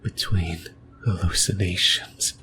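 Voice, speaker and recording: A man voicing a lonely, possessive sleep paralysis demon in a creepy, intimate ASMR monologue, whispering breathily and haltingly close to the mic. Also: low voice, steady tone, music in the background. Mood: eerie, musing. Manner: slow, halting, rambling, intimate. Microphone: close microphone, whispering into the ear.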